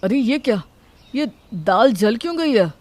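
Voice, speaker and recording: A man acting an expressive young husband. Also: very high voice, a little slow, full character voice. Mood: displeased. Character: young husband, food-loving, complaining but caring. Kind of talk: acted dialogue in a Hindi moral story.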